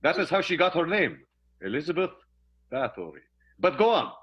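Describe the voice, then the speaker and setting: An actor playing a fussy, solicitous husband in improvised radio melodrama, pompous, precise and over-courteous. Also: male voice, on the high side, big pitch swings, normal speed, husky, highs cut off. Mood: pedantic. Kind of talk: improvised radio play, old-time melodrama.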